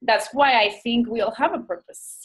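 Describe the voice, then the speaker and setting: A woman's voice: on the high side, normal speed, fairly bright tone. A woman from Rica, a doctor and guest, talking reflectively and searching for words with many restarts. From Costa Rica. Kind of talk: reflective conversation.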